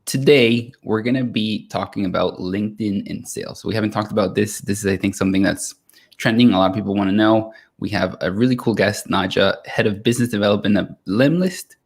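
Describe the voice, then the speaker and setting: An energetic young man hosts a live stream interview from a video call. His voice sits a little low, and he asks his questions in an upbeat, lively way. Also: brisk. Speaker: host.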